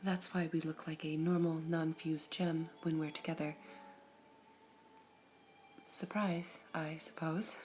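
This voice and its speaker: A woman voicing a serene all-seeing female gem in an ASMR roleplay, whispering softly in a measured, formal way. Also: low-pitched, steady tone, a little hiss or hum. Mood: calm whispering.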